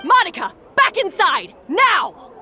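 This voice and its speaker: Sharp, domineering female head chef. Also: very high voice, wildly swooping pitch, slow pace, a little hiss or hum, exaggerated voice. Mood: angry. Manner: barking, commanding, contemptuous. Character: domineering, harsh female head chef bullying her staff. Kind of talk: video game dub acting.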